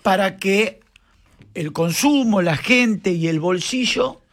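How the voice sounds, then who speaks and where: A high-voiced Argentine man runs a casual radio show. He teases his co-hosts and fires off rhetorical questions in a playful, easy manner. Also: lively intonation, fairly bright tone. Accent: Argentine Spanish. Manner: playful, teasing, rapid-fire questions. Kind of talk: casual talk radio banter.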